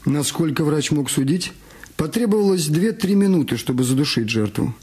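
A man's voice: a little high, expressive, moderate pace, darkish tone, husky. A man reading a detective story as the narrator of a scripted radio production. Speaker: narrator of an audio drama. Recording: music under the voice. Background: background music.

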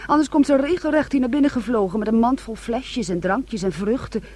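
A woman's voice: a little high, brisk, mellow, dry recording, close to an everyday voice. An actress in a radio drama playing a sulky, stubborn young woman. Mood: stubborn, dismissive. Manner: sullen, stubborn. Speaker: actor.

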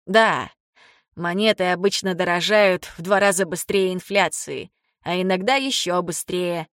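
Woman voicing a slow, blunt ailing old father. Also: lowish voice, a bit bright, no echo, clean studio sound. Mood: firm, fond. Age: older. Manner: slow, deliberate, halting for words. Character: ailing older father, blunt, teasing, fond of his daughter. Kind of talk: audiobook character dialogue.

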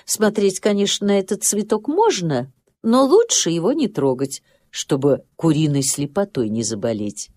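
A woman storyteller narrating a scripted children's nature tale in an expressive, dramatic way. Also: fairly low pitch, moderate pace, a little hiss or hum. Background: music.

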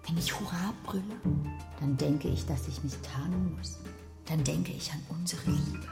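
An actress playing a disillusioned, privately defiant lover in a radio drama, speaking intimately and wryly. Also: female voice, low voice, a little slow, gravelly. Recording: echoing voice over loud music. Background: music.

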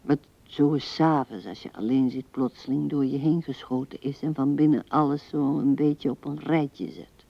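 An actress playing an old widow who muses tenderly and haltingly to her dead husband in a radio play, often sinking to a whisper. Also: extremely low pitch, fairly even intonation, gravelly, no room sound, heavily acted. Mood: weary, hurt. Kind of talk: intimate radio play monologue. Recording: dull, dry vintage radio recording.

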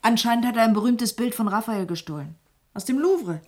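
A woman playing a detective's brisk, teasing secretary in a crime radio play, speaking in a lively manner. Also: fairly high pitch. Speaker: supporting actress.

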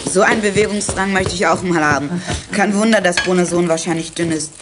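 A woman's voice: fairly low pitch, brisk, noisy background, natural voice. A woman playing a greedy, easygoing, timid child in a German children's audio drama, with dry wisecracks. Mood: easygoing, hungry.

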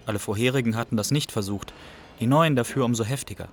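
An actor playing an Iraqi refugee who recounts his exile in a measured, matter-of-fact radio drama narration. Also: mid-range voice, soft background music, natural voice. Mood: sober.

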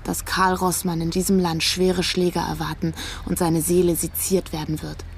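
Pensive young woman, lowish voice, gravelly creak, disillusioned, naturalistic radio drama. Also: darkish tone, a little hiss or hum. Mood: thoughtful. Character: disillusioned, searching young German woman abroad.

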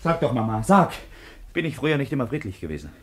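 A man acts out a tormented, resentful killer in a radio drama. His medium-pitched voice swings widely, intense and agitated, between muttering and outburst. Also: very animated, quick pace, close to an everyday voice. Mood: aggrieved fury. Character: volatile, tormented murderer and resentful lover. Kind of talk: German radio play acting.

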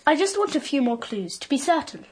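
Precocious, bossy young girl, high-voiced. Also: big pitch swings, fairly quick. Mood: bossy, knowing. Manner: blunt, smug, taunting. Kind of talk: radio drama acting.

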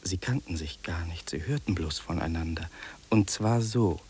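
A lonely, jobless young dreamer in a 1950s radio play. His voice is low, dark and husky, anguished and earnest as he confides his despair. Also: moderate pace. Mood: sombre, confiding.

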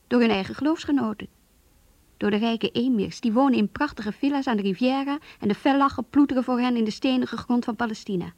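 An actress playing a shy, anxious young woman in love, reading her diary in a radio drama in an intimate, hesitant, breathy voice. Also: a little high, a little mellow, dry recording. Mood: earnest. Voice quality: husky, breathy, half-whispered.

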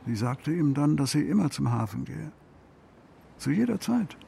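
An actor playing a reflective, melancholy storyteller, narrating in measured, broken phrases for a literary radio play. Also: male voice, a little high, very animated, gravelly, warm tone, slight background noise, exaggerated voice. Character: melancholy male storyteller recounting a troubled couple's story.

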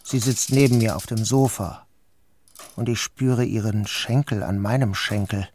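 A man narrating a literary audio drama in the first person, calm, measured and introspective. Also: mid-range voice, a little hiss or hum. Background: music.

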